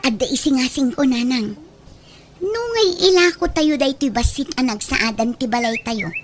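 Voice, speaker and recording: A woman's voice: high voice, animated, moderate pace. A woman playing a grasping, entitled grown daughter in a radio drama, with a Filipino accent. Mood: scheming. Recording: noticeable background noise.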